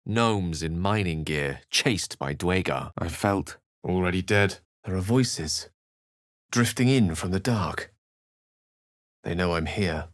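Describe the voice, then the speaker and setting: A man playing an erudite, theatrical wizard in a video game, speaking and narrating in eloquent, precisely measured phrases. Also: low voice, no room sound, clean studio sound. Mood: grave, dramatic. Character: erudite, urbane male wizard with a theatrical streak.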